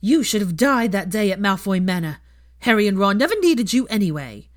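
A woman's voice: mid-range voice, sing-song, brisk, pitched above the performer's own voice, natural voice. A woman voicing an angry, scornful writer of hateful letters in an audiobook story. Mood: threatening. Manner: venomous, scornful. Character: angry letter writers shrieking venomous insults.

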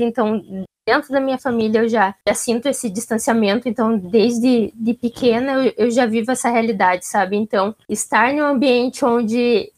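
Panel guest, female, southern Brazilian accent. High voice, casual and reflective delivery. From Curitiba, southern Brazil.

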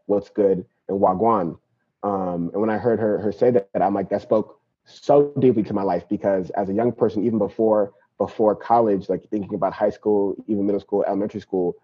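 Husky-voiced young man talking easy. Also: fairly low pitch. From Nigeria. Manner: relaxed, reflective, lots of "you know" and "right?". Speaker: podcast guest, activist. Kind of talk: casual interview talk. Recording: dull lo-fi podcast audio.

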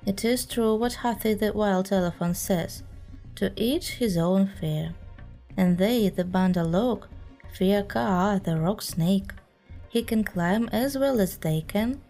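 A woman's voice: medium pitch, slow, dark tone, faint music behind. A woman voicing a grieving, fretful old male bear who laments and pants as he speaks. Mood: earnest. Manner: lamenting, panting.

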